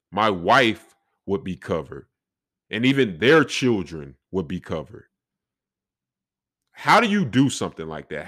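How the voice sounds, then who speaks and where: A young man with a deep voice talking reflectively on his solo podcast, with faith woven into his talk. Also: fairly bright tone. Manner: reflective, faith-filled, conversational. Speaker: podcast host.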